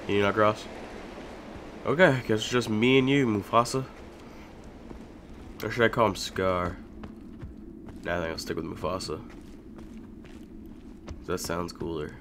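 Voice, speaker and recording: A young man casually commentating a video game as he plays, chatty and joking, with sudden loud outbursts. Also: lowish voice, unhurried, long pauses, noisy background. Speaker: gaming YouTuber. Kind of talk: casual let's-play commentary.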